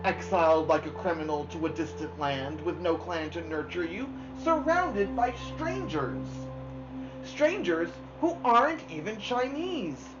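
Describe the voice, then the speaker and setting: A woman's voice: on the low side, sing-song, unhurried. A woman voicing overwrought older female relatives lamenting in a children's story. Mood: dismayed wailing. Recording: dull lo-fi sound, music behind.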